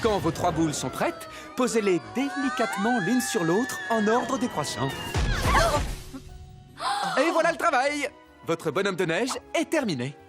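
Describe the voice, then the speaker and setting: A prim and pedantic cartoon narrator, giving formal how-to instructions. The delivery is theatrical and very animated, at a middling pitch, with music playing loudly behind. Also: a little slow. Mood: prim, cheerful. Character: prim, pedantic how-to narrator who gets flustered and amazed. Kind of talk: scripted mock-instructional narration.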